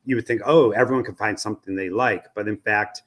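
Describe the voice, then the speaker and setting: A man's voice: on the low side, fairly quick. An American man giving analytical answers as an expert guest in an interview. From United States. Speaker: interview guest, radio research company president.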